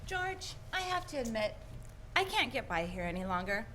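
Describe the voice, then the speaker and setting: A woman's voice: a little high, sing-song, moderate pace, background noise. A woman playing an earnest, embarrassed young lady down on her luck, in a 1940s-style radio drama. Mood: embarrassed, resolved. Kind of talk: 1940s radio play acting.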